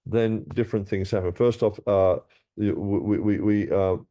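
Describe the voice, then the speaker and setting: A man's voice: fairly low pitch, fairly even intonation, unhurried, mellow. A man giving a scripture class, reading verses aloud and explaining them with frequent restarts and repeated words.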